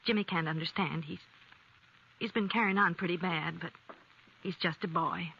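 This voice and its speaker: Resigned, proud frontier farm wife. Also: female voice, lowish voice, moderate pace, creaky. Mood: firm, resigned. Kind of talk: 1950s radio western acting. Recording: muffled, lightly noisy 1950s radio recording.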